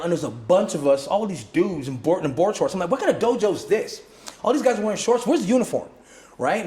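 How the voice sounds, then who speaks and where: Animated Jamaican-born man, echoey room. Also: high voice. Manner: acts out other people's lines in changing voices, many restarts. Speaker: martial arts instructor and guest. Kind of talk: animated storytelling in conversation.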